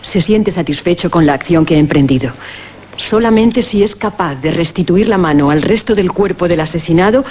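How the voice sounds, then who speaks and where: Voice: medium pitch, normal speed, a little mellow, lightly acted. A performer playing a worried friend in a horror radio drama. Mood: concerned. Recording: dull audio with faint noise.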